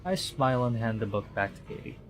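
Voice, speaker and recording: A male streamer talks through a game in a casual, halting way. His pitch is average, and he moves between commentary and reading narration aloud. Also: animated, faint music behind. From Philippines.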